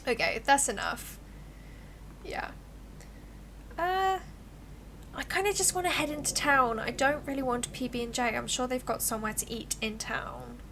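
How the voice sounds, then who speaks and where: Posh British woman streamer, fairly high voice. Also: moderate pace, pauses often, a bit bright, slight background noise. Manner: playful, gushing reactions. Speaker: game streamer. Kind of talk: livestream game commentary and reading aloud. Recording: background music behind voice.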